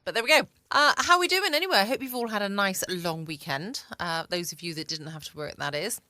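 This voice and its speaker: A woman's voice: medium pitch, quick pace, sharp tone, no room sound. A woman with a southern English accent, hosting a podcast from home in a casual, rambling, chatty way. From England. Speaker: podcast host. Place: at home, dry close recording.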